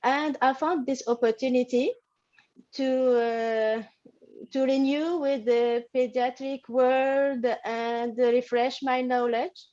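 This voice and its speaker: A middle-aged pediatrician from Algeria, speaking English with a French accent over a video call, halting with many fillers. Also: female voice, sits high, fairly even intonation, mellow. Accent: French-influenced English. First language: not English; studied in French. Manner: halting, many fillers and restarts, laughs often. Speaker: pediatrician and course student. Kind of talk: webinar interview. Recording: dull lo-fi call audio.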